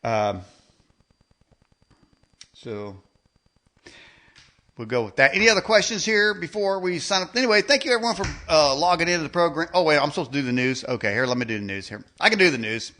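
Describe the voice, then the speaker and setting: A man with a high-pitched, sharp voice hosts an improvised rant show. He is sarcastic and colloquial. He stammers and circles back over the same phrases as he builds his point. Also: lively intonation, brisk, long pauses. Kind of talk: improvised rant monologue with mocking role-play.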